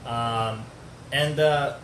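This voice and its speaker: A man's voice: medium pitch, steady tone, drawn-out words, a bit bright. A man from Georgia hosting a casual live stream chat, chatty and joking as he answers viewers. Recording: echoey room with faint noise.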